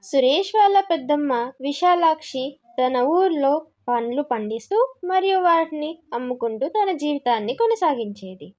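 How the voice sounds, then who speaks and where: A woman's voice: sits high, expressive, moderate pace, a little mellow, dull audio. A woman narrating a scripted moral story for children.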